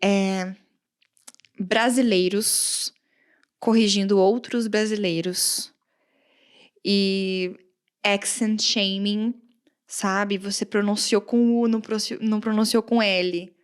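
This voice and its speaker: A woman from Brazil with a countryside accent, a guest English teacher chatting casually on a podcast, animated, often laughing and acting out quoted comments. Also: mid-range voice, slow, long pauses, clean tone. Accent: Brazilian Portuguese, countryside.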